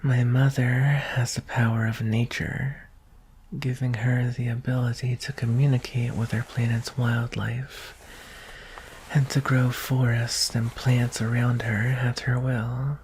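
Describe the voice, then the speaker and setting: A performer voicing a shy, anxious young alien prince in an ASMR roleplay, speaking softly and hesitantly in a breathy, husky half-whisper. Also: mid-range voice, level tone, a little hiss or hum, lightly acted, rough recording. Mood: soft, explaining. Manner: hesitant, halting, tender. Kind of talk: ASMR roleplay, soft intimate delivery.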